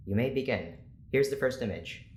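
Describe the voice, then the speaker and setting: A male actor plays a stern scientist in an audio drama, weary and easily exasperated. He speaks formally in clipped phrases at a middling pitch. The room echoes around him, with faint noise. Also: normal speed, close to an everyday voice. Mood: clinical, controlled.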